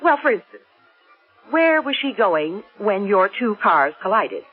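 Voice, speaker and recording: A woman's voice: mid-range voice, big pitch swings, unhurried, breathy edge, narrow, tinny audio. An actress playing a fond, skeptical middle-aged American mother in a 1970s radio drama, with an American accent and a probing, hesitant manner. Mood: skeptical, amused. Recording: band-limited old radio broadcast with music.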